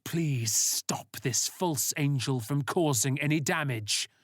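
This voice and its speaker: Stern cult leader, read by a male narrator, grandiose and solemn, drawn-out delivery, audiobook villain. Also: fairly high pitch, no echo, clean studio sound. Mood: imperious.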